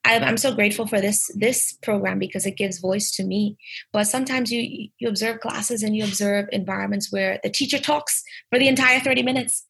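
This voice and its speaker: Young Bahamian woman teacher, lively and emphatic, gravelly husky voice. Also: mid-range voice, fairly quick. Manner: lively, emphatic, restarts phrases. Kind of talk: interview answers.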